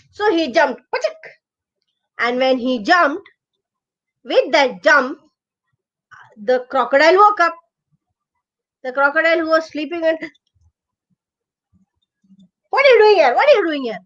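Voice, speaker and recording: A woman's voice: high voice, lo-fi sound. A woman with an Indian accent narrating a children's bedtime story expressively, drawing out and repeating words for emphasis. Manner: expressive, drawn-out repetition for emphasis.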